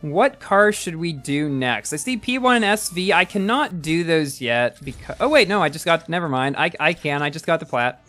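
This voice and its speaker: A man's voice: on the high side, lively intonation, moderate pace, few pauses, sharp tone, soft background music. A man in his early twenties chatting casually while livestreaming a game and reading chat, rambling and often repeating words as he restarts. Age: early 20s. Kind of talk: casual live-stream chat.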